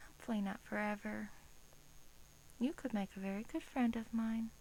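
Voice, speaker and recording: A woman playing a sweetly menacing, teasing vampire in a soft, breathy ASMR roleplay, often dropping into a whisper, coaxing and condescending. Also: fairly high pitch, steady tone, normal speed, natural voice, poor audio. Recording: faint hiss.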